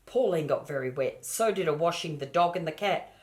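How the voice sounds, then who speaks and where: Older woman, low-pitched voice, grandmother, homely storybook read-aloud, expressive, little asides to children. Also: a little fast. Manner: expressive, addresses the children directly.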